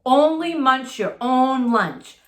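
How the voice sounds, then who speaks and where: A woman's voice: high voice, animated, slow pace, roomy sound. A woman voicing an eager, well-meaning young boy shark from a children's storybook, bouncy and exclaiming. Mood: excited.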